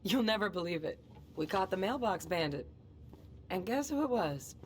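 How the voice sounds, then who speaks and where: A woman's voice: medium pitch, expressive, moderate pace, slight background noise, lightly acted. An actress playing a friendly, chatty small-town policewoman who talks in a colloquial, teasing way in a video game cutscene. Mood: amused. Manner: colloquial, joking. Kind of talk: naturalistic video game voice acting.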